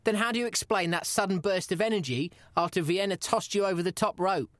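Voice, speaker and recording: Insistent podcast interviewer, dry sound. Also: male voice, high voice, brisk, fairly bright tone, dry recording. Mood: probing, mock-serious. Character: exasperated male podcast interviewer pressing his wrestler friend. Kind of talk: comedy audio drama dialogue.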